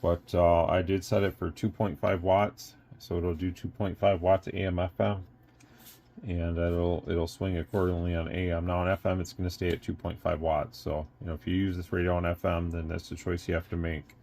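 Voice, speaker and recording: A man's voice: low-pitched, level tone, normal speed, gravelly, a little mellow, a little hiss or hum. An American man giving a casual hands-on product review, explaining things in a rambling, matter-of-fact way. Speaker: radio shop owner and product reviewer.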